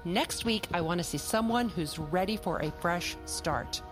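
A woman playing a measured, firm therapist in an audio drama. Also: lowish voice, over music, natural voice. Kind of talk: audio fiction acting.

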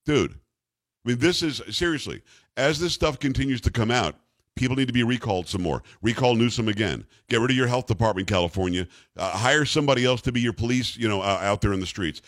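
A man's voice: mid-range voice, animated, brisk, a bit bright, no echo. A man hosting a talk radio show, speaking bluntly and emphatically, and reading ads live from the studio.

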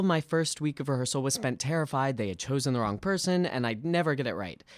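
A man with a mid-pitched voice reads a personal essay in a very dry, close recording. His delivery is polished and deliberate, like a stage actor narrating his own writing. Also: dry recording. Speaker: musical theatre actor. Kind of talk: scripted personal essay read aloud.

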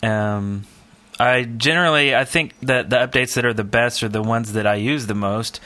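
A man's voice: mid-range voice, moderate pace, pushed and bright. A man hosting a podcast in casual chat, with a joking, teasing manner. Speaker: podcast host.

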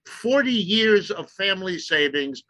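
A man raised in the United States with German as his first language lectures with insistent emphasis. His voice sits a little high and sounds pressed and forceful. He drags out key phrases to drive them home. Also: lively intonation, poor audio. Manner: emphatic, lecturing, slows for stress. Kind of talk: explanatory talk.

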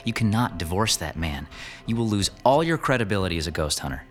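Low, animated scheming lover. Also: male voice, fast talker, faint music behind. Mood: urgent, persuasive. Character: scheming murderous lover, cool, persuasive, crude. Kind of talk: audio horror drama acting.

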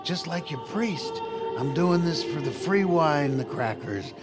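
A jovial, tipsy old-time politician in an audio drama. His voice sits a little high and swings freely up and down as he rambles and wisecracks. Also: lively intonation, measured pace, over loud music. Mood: jovial, teasing. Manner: chuckling, wisecracking. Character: jovial hard-drinking male vice president, slightly tipsy and rambling. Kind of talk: period audio drama acting.

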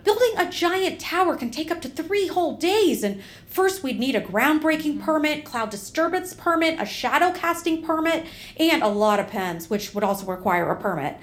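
High-voiced, officious town councilwoman. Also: very animated, normal speed, few pauses. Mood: upbeat. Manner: bossy, businesslike. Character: brisk, officious small-town female council representative. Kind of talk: audio comedy acting.

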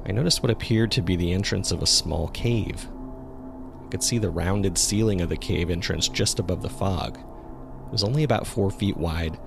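A man performs a male jogger recounting an eerie experience in a scripted horror story. His voice sits very low, with a heavy creak at the edges. He tells it in a measured, suspenseful way. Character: local male jogger recounting a terrifying discovery in the woods. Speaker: narrator. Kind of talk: scripted first-person horror narration. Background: ambient sound effects.

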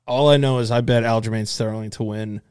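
A man's voice: medium pitch, steady tone, a little fast, a little mellow, clean tone, no room sound, studio quality. A man co-hosting a casual podcast, talking in a laid-back, slangy way. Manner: laid-back, slangy talk with lots of "like" and "dude". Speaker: podcast co-host.

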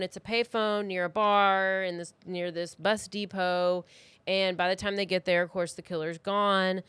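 A woman podcast co-host telling a true crime story in an informal, conversational way, with halting asides. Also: on the low side, a bit bright, clean tone, no echo.

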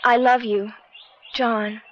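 A woman's voice: on the high side, little pitch movement, slow pace, slight background noise. An actress in a radio drama playing a wounded, lovelorn estranged wife who confides in her father. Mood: reading, moved. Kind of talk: 1970s radio drama acting. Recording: dull old radio recording.

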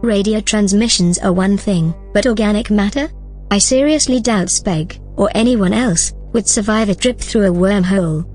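A woman's voice: mid-range voice, a little mellow, breathy edge, soft background music, lightly acted. Composed female AI, played by an actress. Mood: calm, reasoning. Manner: composed, measured, formal. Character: calm, principled female artificial intelligence. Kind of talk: audio drama acting.

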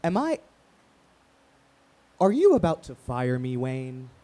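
An actor playing a wary radio talk show host, guarded, pointed and dryly polite, in an audio drama. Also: male voice, mid-range voice, wildly swooping pitch, slow, warm tone. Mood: anxious. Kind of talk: naturalistic audio drama acting.

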